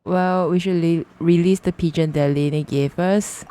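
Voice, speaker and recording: Woman, casual livestream game commentary: slow, hesitant delivery, lowish pitch, thinking out loud. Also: steady tone, smooth. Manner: halting, thinking aloud. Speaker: live streamer.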